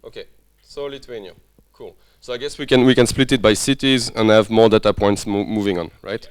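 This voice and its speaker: A man's voice: lowish voice, fairly even intonation, brisk, clean tone, no echo. A man with a French accent leading a business workshop, talking casually and often checking that the group follows. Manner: friendly, conversational, checks understanding often. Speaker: workshop lecturer. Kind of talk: interactive workshop teaching. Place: workshop room.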